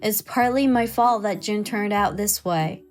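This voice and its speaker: A woman's voice: mid-range voice, unhurried, lightly acted. A woman playing a kindly, chatty older mother-in-law, polite and effusive, in a manga dub drama. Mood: indignant, supportive. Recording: music behind the voice.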